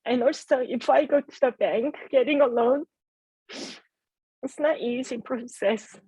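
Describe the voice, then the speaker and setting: A woman whose first language is Korean, speaking heartfelt and halting on a video call about her business. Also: sits high, mellow, slightly rough, no room sound, poor audio. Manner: heartfelt, halting, earnest encouragement. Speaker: entrepreneur sharing her story. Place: home in Adelaide.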